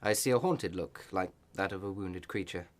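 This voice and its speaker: Low-pitched male reverend, acted, measured and wry. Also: natural voice. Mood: concerned, wry. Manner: measured, eloquent, gently sly. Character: loyal, wry village reverend, concerned old friend. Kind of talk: radio play acting.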